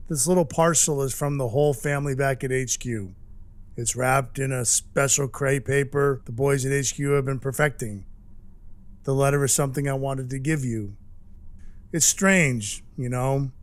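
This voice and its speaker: A man playing a scruffy, apologetic Christmas dwarf in an audio drama, telling his story in a halting, folksy, sincere way. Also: fairly high pitch, no echo, lightly acted. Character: male Christmas dwarf turned Santa, scruffy, apologetic, sentimental. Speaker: actor in an audio play.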